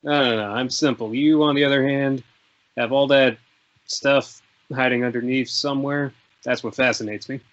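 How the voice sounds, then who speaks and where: Man playing a gentle loner, slightly muffled sound. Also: medium pitch, fairly even intonation, moderate pace, natural voice. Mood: tender, self-effacing. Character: reclusive nature-loving man, self-effacing and tender. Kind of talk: script table read, drama. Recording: dull audio with faint noise, clicks.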